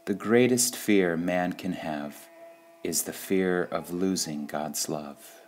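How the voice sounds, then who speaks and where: A man reads short spiritual sayings in a measured, deliberate devotional style, pausing between phrases. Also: deep voice, darkish tone, slight background noise. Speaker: reader of spiritual quotations. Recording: music under the voice. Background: background music.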